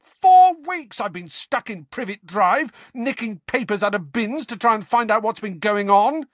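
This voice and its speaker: A man's voice: fairly high pitch, animated, a little fast, sharp tone, husky, no room sound, pitched above the performer's own voice, full character voice. A man voicing a bitter, furious teenage wizard boy in a storybook, accusing and sarcastic. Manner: explosive, accusing, demanding.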